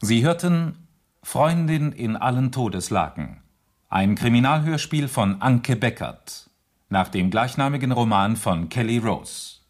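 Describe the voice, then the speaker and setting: A man reading scripted radio play closing credits in a formal, measured announcer's manner. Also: mid-range voice, expressive.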